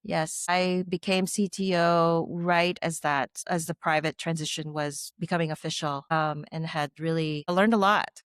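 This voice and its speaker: A middle-aged woman from the Philippines answers interview questions in an articulate, reflective way, now and then with a light laugh. Her voice sits low for a woman and sounds smooth and clear. It is recorded close and dry, with no room sound. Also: steady tone, a little slow, few pauses, no echo. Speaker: guest, former tech executive.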